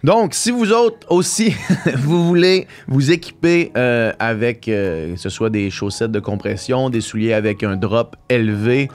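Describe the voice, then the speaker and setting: A man's voice: medium pitch, lively intonation, unhurried. A man with a Québécois French accent hosting a casual podcast interview, laid-back and laughing easily. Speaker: podcast host.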